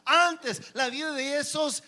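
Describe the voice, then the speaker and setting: Guy preaching w an accent, slow n high, lots of restarts. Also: bright, forceful tone. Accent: non-native Spanish accent. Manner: preaching with rhetorical questions and frequent restarts. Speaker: pastor. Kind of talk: sermon.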